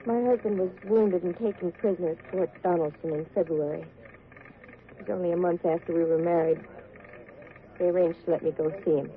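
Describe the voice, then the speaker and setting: Young officer's wife actress, weary, subdued, earnest; 1940s radio drama, thin noisy recording. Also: female voice, on the low side, steady tone, brisk, narrow, tinny audio, lightly acted.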